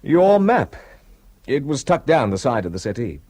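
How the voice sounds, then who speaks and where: A man's voice: mid-range voice, sing-song, normal speed, darkish tone. An actor playing an earnest, polite man searching for his missing sister in a radio play. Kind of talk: 1970s radio drama acting.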